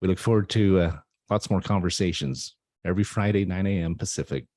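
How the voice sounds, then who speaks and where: A man's voice: deep voice, a little fast, a little mellow, dry recording. A man hosting a talk radio interview over a video call, upbeat and affirming, often checking in with "right?". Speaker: radio show host.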